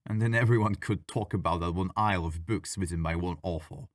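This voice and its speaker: A man playing a friendly, bored, mischievous ghost in an intimate ASMR audio roleplay, talking in a playful, wry, gently argumentative way. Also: deep voice, a little fast, no echo, clean studio sound, lightly acted. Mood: defensive, teasing.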